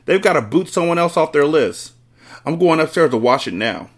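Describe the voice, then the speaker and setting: A man voicing a blustering, vain middle-aged father in a storybook, yelling with indignant exclamations. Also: extremely high pitch, huge pitch swings, moderate pace, gravelly, slightly rough, voice pushed up, exaggerated voice. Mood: frantic, urgent.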